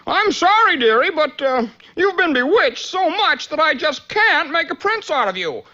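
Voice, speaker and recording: A woman voicing an old head witch, shrill, brisk and apologetic, in a comic cartoon. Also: big pitch swings, sharp tone, heavily acted. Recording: dull old cartoon soundtrack.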